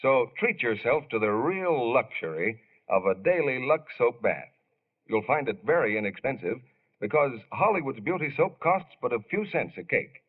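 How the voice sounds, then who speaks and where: Man, old-time radio pitchman, emphatic, lively melody, medium pitch, muffled recording. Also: normal speed. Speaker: radio announcer. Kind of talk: 1940s radio announcing and ad read.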